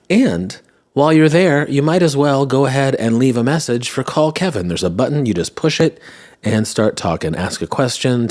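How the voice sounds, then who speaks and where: A man hosting a podcast in casual solo chat, rambling and wry, his voice tired and slightly hoarse. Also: medium pitch, a little mellow. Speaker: podcast host. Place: at home, indoors.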